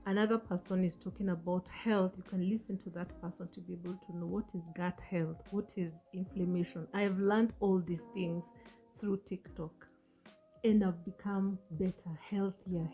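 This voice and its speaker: A woman's voice: fairly low pitch, warm tone, low-quality recording. A woman host and financial advisor from Africa, not a native English speaker, giving an earnest, encouraging talk that often repeats and corrects itself. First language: an African language. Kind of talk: motivational talk to viewers.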